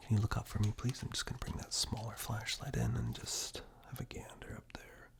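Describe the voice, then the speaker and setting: A man doing soft-spoken, half-whispered ASMR roleplay, reassuring and unhurried, speaking directly to the listener close to a binaural microphone. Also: flat delivery. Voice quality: breathy whisper mixed with very deep, gravelly murmur. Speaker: ASMR performer playing caregiver and eye examiner roles.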